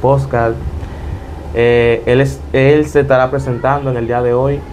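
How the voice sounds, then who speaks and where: A man's voice: medium pitch, flat delivery, normal speed, a little mellow, a little hiss or hum, poor audio. A man, hosting a religious interview podcast in a devout manner. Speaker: podcast host.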